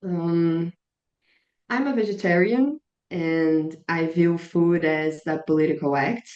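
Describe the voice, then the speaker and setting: A woman in her twenties from Brazil with a Brazilian Portuguese accent, speaking English as a second language, answering interview questions in a measured, earnest way with a slightly strained voice. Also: sits low, a little mellow. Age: 20s. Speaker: guest, biologist and climate activist. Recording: echoey room, dull lo-fi sound.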